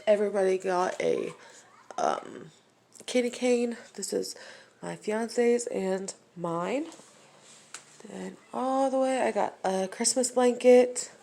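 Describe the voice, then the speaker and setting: A woman from Ohio chats through a home tour at an unhurried pace, her voice nasal from allergies. There is a gravelly edge to her tone, and she leans on frequent ums. It sounds like a phone held in her hand indoors. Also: mid-range voice, mellow, slight background noise. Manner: slow, filler-heavy chatter with many ums. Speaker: home vlogger. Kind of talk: casual home tour vlog.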